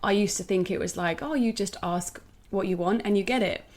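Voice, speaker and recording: A woman co-hosting a podcast in a relaxed, chatty way. Her voice is a little croaky, and she fills her sentences with "like" as she talks. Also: mid-range voice, brisk. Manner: chatty, uses "like" a lot, speeds up. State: croaky voice, clearing throat. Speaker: podcast co-host. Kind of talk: casual podcast chat.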